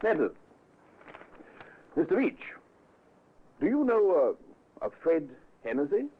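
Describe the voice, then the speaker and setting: An actor playing a measured, methodical police inspector in an old radio drama, dry and official with careful pauses. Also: male voice, a little high, very animated, breathy edge, full character voice. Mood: measured, tactful. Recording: muffled, lo-fi old radio recording.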